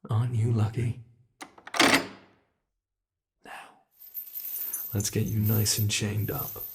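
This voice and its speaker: A man's voice: fairly low pitch, little pitch movement, measured pace, gravelly, noisy background. A man voicing an ancient monster in an ASMR roleplay, a smug and possessive captor who talks in a theatrical, teasing, formal way. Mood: gloating, playful. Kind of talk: ASMR roleplay, scripted monologue.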